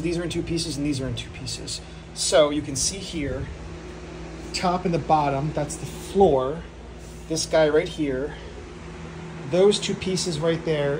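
A man's voice: fairly high pitch, measured pace, noisy background. A man filming a casual, offhand walkthrough of his home workshop, joking at his own expense. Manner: offhand and self-deprecating, with fillers. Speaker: channel host.

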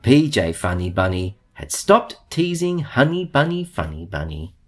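A man reading a children's storybook aloud in a theatrical way, with drawn-out emphasis. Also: lowish voice, very animated, soft background music. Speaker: storybook reader.

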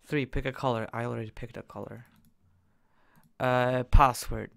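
A young man casually commenting while he plays a game on his computer, excitable and jokey, jumping from loud bursts to quiet mumbles. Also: mid-range voice, normal speed, gravelly. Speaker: gaming YouTuber.

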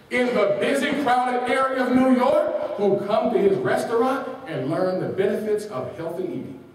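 A man preaching a sermon, reading quotations with their page numbers and asking his congregation rhetorical questions that they answer. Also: sits high. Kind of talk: sermon with call and response. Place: large church hall. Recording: echoey hall from far off.

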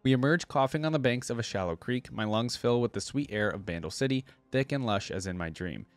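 A man's voice: medium pitch, animated, a little fast, dry recording, soft background music. A young man reading a story aloud and commenting casually as a reaction video host. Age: young adult.

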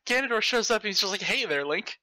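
A man's voice: sits high, expressive, fast talker, pushed and bright, dry recording. A man reading fan fiction aloud with theatrical, mocking delivery and breaking off to comment. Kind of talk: comedic dramatic read-aloud with commentary.

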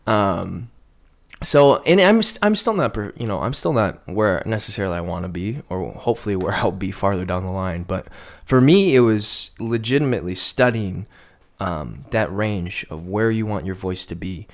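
A man's voice: on the low side, animated, moderate pace. A young man and sports broadcaster, talking through a video call interview in a thoughtful way with many fillers. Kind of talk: casual interview chat. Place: home room. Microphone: studio condenser mic on video call.

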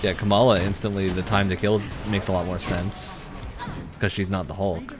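A man's voice: low voice, little pitch movement, moderate pace, noisy background. A young man giving casual, critical, bemused commentary as he streams a video game.

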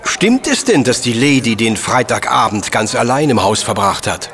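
An actor playing a dry, pedantic, self-assured gentleman professor in a German audio drama, speaking formally and precisely. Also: mid-range voice, animated, normal speed. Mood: dry, matter-of-fact.

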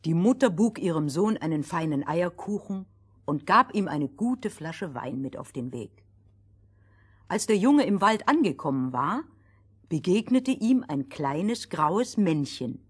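A woman narrating a scripted fairy tale for children in a deliberate, schoolmistressly manner. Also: fairly low pitch, big pitch swings, slightly rough, heavily acted. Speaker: first storyteller.

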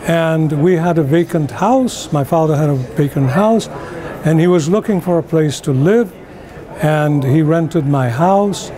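A man's voice: on the high side, a little slow, crowd noise. An older man from Iran with an Iranian accent, telling his life story to the camera at a conference. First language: Persian. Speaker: interviewee. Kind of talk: personal recollection to camera. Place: indoor conference venue.

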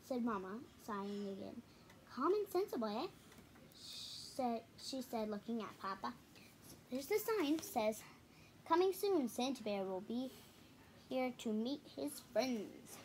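A girl of about ten to twelve with a fairly high voice reads a picture book aloud. She goes haltingly, repeating words and stepping out for casual comments. It is a home camera recording in a living room, with some noise in the background. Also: animated. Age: child, about ten to twelve. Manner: halting reading with stumbles and repeats. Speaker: storybook reader.

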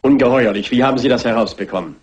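A man playing a pompous, excitable horse-loving gentleman hotel keeper in a German radio crime drama, with a husky voice. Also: medium pitch, fast talker, highs cut off, close to an everyday voice. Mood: indignant.

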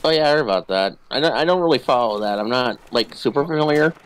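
A man's voice: medium pitch, expressive, quick pace, clear, resonant tone. A man chatting casually and jokingly with a friend while playing a co-op video game. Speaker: gamer playing co-op. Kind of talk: casual gaming chat. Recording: background game noise, ambience.

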